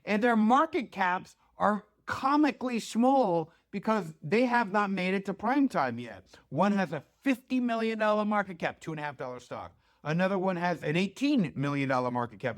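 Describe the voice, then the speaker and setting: An American man hosting an informal investment pitch, talking conversationally and emphatically, with check-ins and rhetorical questions. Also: expressive, a little fast, few pauses. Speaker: financial newsletter host.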